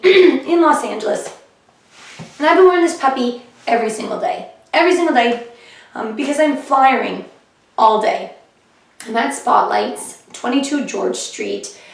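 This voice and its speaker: A woman comedian chatting casually in a vlog about her shows, her voice a little hoarse and often clearing her throat. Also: on the high side. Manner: chatty and upbeat, with fillers. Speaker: comedian vlogging her diary. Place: living room of a flat, indoors. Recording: echoey room, heard from a distance.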